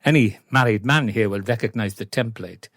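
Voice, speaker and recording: A man delivering a measured, deadpan comic rant. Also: lowish voice, no room sound, clean studio sound. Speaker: comedian.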